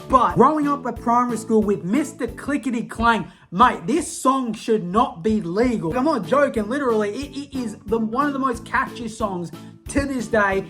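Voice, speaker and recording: A young Australian vlogger with a mid-pitched voice talks in a loose, slangy ramble, tripping over restarts and poking fun at themself. Faint music sits low behind the voice. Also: fairly bright tone. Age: young adult. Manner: rambling, slangy, self-mocking, lots of restarts. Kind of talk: casual nostalgic rant to camera.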